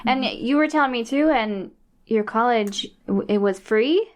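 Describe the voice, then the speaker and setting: A woman's voice: fairly high pitch, unhurried. A woman co-hosting a casual podcast, joking around and laughing often as she talks. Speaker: co-host.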